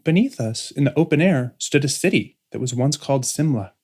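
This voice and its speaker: A woman's voice: extremely low pitch, expressive, a little fast, dark tone, slightly rough, exaggerated voice. Woman acting polite airship attendant, deferential, eager to explain, slightly unconventional, sci-fi audiobook drama. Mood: apologetic, polite. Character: anxiously polite airship attendant, a little rough and original.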